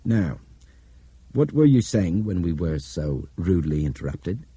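A man voicing an urbane, forceful Englishman in an audiobook story. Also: low voice, sing-song, a little slow, breathy edge, dry recording, exaggerated voice. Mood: brisk, cool. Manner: commanding, clipped urgency with polite turns of phrase.

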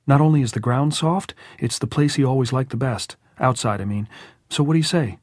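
A man voicing an animated, brittle adolescent schoolboy in an audiobook, with eager, urgent speech. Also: medium pitch, a little fast, no room sound, studio quality, voice pushed up, natural voice. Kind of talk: audiobook character voice.

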